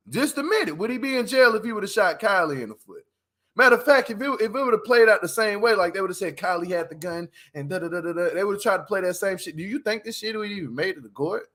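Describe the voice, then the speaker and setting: A man's voice: high voice, bright, forceful tone. A man giving casual, opinionated livestream commentary as host, talking in an emphatic, repetitive, slangy way.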